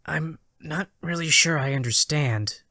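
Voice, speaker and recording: A man's voice: a little high, unhurried, bright, forceful tone, no echo, voice pushed up. A man voicing an earnest, eager young hedgehog hero in a dramatic audio story, with a youthful, hesitant delivery. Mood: earnest, hopeful.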